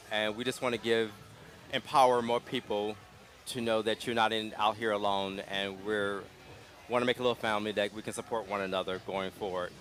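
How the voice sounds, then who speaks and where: Host, male, calm and gentle delivery, mid-pitched, recorded outdoors at a street festival. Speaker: interview host. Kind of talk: casual live interview. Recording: outdoor live stream.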